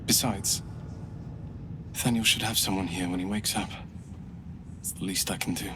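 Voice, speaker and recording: A man's voice: low-pitched, steady tone, moderate pace, noisy background, lightly acted. A man playing a weary, solemn old soldier in a video game, speaking earnestly and gratefully. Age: older. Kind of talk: video game dialogue acting. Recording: music behind the voice.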